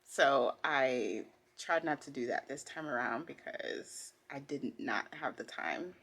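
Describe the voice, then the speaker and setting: A woman's voice: extremely low pitch, takes it slowly, fairly bright tone, slightly rough. A woman talking candidly and informally in a casual personal vlog, recorded at home. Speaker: vlogger, costume designer. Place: indoors at home.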